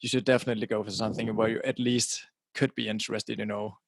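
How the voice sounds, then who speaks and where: A man's voice: lowish voice, little pitch movement, quick pace, vocal fry, dry recording. A middle-aged man from Denmark, not a native English speaker, talking casually as a podcast guest into a close podcasting mic, often repeating words as he restarts. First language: Danish. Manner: casual, repeats words at the start of phrases, laughs now and then. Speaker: guest, website publisher. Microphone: directional podcasting mic close to mouth.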